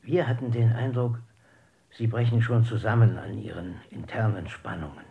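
An actor playing an opinionated eyewitness who looks back firmly and tersely in a re-enacted documentary interview. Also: male voice, on the low side, steady tone, moderate pace, creaky, narrow, tinny audio, slight background noise. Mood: firm, contradicting. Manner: emphatic, terse, matter-of-fact. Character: opinionated male eyewitness looking back, firm and contradicting.

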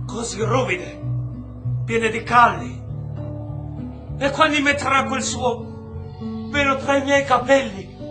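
A man acting an anguished, earnest detained taxi driver in a radio drama, speaking in halting, emotive phrases. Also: extremely high pitch. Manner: halting, emotive, repeating words. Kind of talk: stage-style radio drama acting, monologue.

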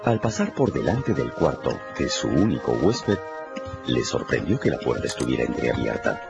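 A man with a very deep, gravelly voice narrates a radio novel. His scripted delivery is dramatic. The sound is a little muffled, with music playing behind him. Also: very animated, moderate pace.

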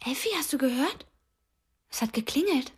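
A woman in a radio drama playing a young girl, a cheeky sister at play, with a breathy, lilting voice. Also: high voice, expressive, normal speed, a little hiss or hum. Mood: hushed, alert.

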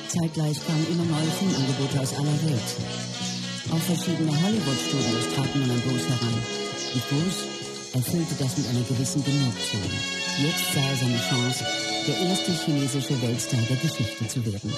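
A man's voice: medium pitch, moderate pace, loud music behind the voice, close to an everyday voice. A man playing an earnest associate who recounts a film star's life in scripted documentary-style radio play narration. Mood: earnest, reflective.

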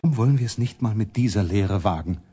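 A self-important male reformer in a radio drama. His low, slightly husky voice is emphatic and declamatory, defiant in temper, with faint music about the production. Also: animated, quick pace, warm tone. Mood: solemn, calculating. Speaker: actor in a radio play. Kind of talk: German radio drama acting.

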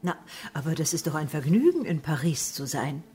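An actress playing an eager, sociable provincial wife in a German radio play. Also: female voice, low-pitched, big pitch swings, fairly quick, mellow, faint music behind. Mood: gushing.

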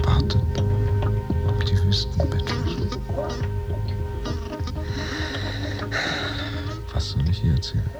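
An actor playing a bewildered, brooding jobless office worker in a musing, hesitant radio play monologue. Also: male voice, low voice. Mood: musing, uneasy. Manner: musing, hesitant, addressing himself. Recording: slightly muffled, music underneath. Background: music.

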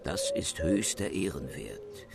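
An actor playing a jovial, pompous old school headmaster who declaims patriotically in a radio play. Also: male voice, fairly low pitch, wildly swooping pitch, slow, gravelly, full character voice. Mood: pompous, blustering. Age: older. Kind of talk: radio drama acting.

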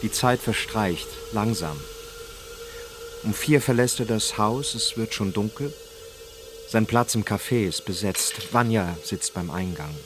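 An actor as the sober, measured narrator of a radio crime drama, telling it in standard German. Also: male voice, on the low side, background noise, close to an everyday voice. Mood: sober, suspenseful. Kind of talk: scripted present-tense narration in a radio drama.

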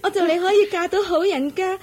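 An actress playing a naive, vain young servant girl in a Cantonese radio drama, speaking softly and dreamily. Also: very high voice, fairly quick. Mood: dreamy. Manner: dreamy, childlike, soft-spoken.

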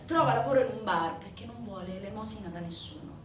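Recorded from a distance in an echoey room with faint noise, a woman narrates a stage monologue. Her delivery is dramatic and emphatic, moving between hushed and forceful phrases with deliberate pauses. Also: medium pitch, expressive. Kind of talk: dramatic theatrical monologue storytelling.

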